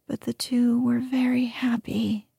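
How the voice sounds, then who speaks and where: A woman telling a fairy tale in a slow, soothing whisper, as whispered ASMR storytelling. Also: on the high side, level tone, vocal fry, dark tone, breathy edge, no room sound.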